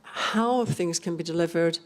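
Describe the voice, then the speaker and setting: Older female panel speaker from Scotland, slightly low voice, measured and explanatory delivery. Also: low-quality recording. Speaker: panel speaker on rural communities. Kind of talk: unscripted panel presentation.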